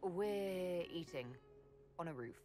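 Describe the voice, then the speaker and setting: An actress playing a composed Inquisitor in a video game, measured and direct in her questions. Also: lowish voice, expressive, a little mellow, soft background music. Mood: puzzled. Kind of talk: video game player-character dialogue.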